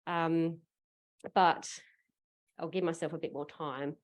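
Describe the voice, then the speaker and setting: Chatty low-voiced woman. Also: steady tone, slow, dull audio. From Australia. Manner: chatty, rambling, with many fillers and restarts. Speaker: parent fundraiser on a panel. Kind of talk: casual conference panel talk. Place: conference room.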